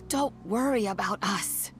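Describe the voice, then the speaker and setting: A woman playing an elderly granny in a video game, a calm, gentle, wise adviser with a measured, patient manner. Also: medium pitch, soft background music, lightly acted. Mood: reassuring. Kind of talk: video game character acting.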